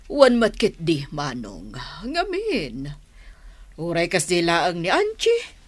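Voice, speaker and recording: A woman's voice: lowish voice, huge pitch swings, takes it slowly, full character voice. A woman playing a calculating, money-minded middle-aged mother in an Ilocano radio drama, with an Ilocano accent. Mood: concerned, insistent. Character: calculating, money-minded wealthy matron, mother of grown son.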